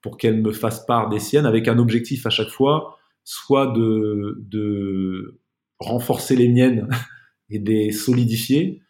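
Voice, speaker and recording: A man's voice: fairly low pitch, fairly even intonation, unhurried, a little mellow. A man speaking as a podcast guest in a casual, reflective interview, searching for his words and often repeating them.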